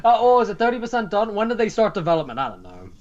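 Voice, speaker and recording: A man's voice: high-pitched, huge pitch swings, very fast, highs cut off. A man hosting a co-op let's play, chatting casually and rambling through self-corrections about the game. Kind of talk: casual co-op gameplay chat.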